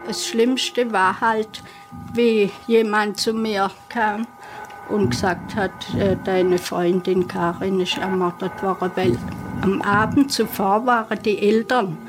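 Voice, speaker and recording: A woman's voice: mid-range voice, steady tone, slow, rough recording. An older woman with a southern German accent recollecting spontaneously in an interview. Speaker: interviewed witness. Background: music.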